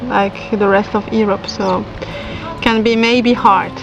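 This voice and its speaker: A woman from Germany answering interview questions in a halting way, with many fillers and non-native phrasing. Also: mid-range voice, steady tone, over music, rough recording.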